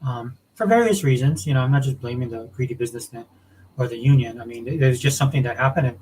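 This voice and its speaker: A quick-talking man co-hosting a casual gaming livestream, from Hawaii and raised in the US South. He rambles through strong opinions, often restarting his sentences. His voice sits at a middle pitch, with a faint hiss in the background. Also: darkish tone, poor audio. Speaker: co-host of a gaming podcast.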